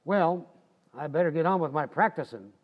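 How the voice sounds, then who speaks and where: A man's voice: a little high, lively intonation, moderate pace, highs cut off, music in the background, close to an everyday voice. A man playing a stubborn, cocky, folksy husband who wisecracks in an old-time radio comedy. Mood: folksy, busy. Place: community playhouse stage, live recreation.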